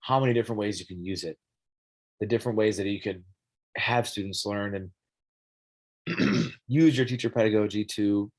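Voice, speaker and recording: A young man, a student teacher, presenting an app for the classroom in a halting way, his voice scratchy as he clears his throat and coughs. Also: on the low side. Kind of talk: informal classroom tech presentation.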